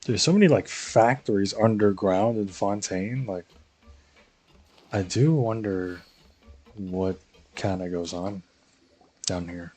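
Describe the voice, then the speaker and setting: A young man with a husky voice giving casual, slangy live commentary on a game, musing to himself. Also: on the low side, takes it slowly, long pauses, darkish tone, soft background music. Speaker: game streamer.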